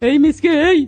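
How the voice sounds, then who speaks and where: A woman's voice: very high voice, mellow, dry recording, full character voice. A woman voicing a mocking animal shopkeeper in a comic cartoon, teasing and jeering with exaggerated delivery.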